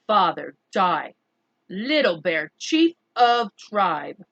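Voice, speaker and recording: A woman storyteller plays a tiny, proud chief from a children's storybook. He speaks slowly in terse broken phrases, with dignified, demanding self-importance and a bright, firm tone. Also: mid-range voice, very animated, dull audio, exaggerated voice. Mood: solemn, proud.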